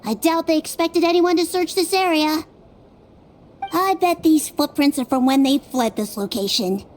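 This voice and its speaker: A woman voicing a gentle, analytical non-human detective who reasons aloud, in video game dialogue. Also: high voice, moderate pace, a little hiss or hum, natural voice. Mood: thoughtful. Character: young female Melusine detective, analytical, gentle. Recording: game audio with soft music.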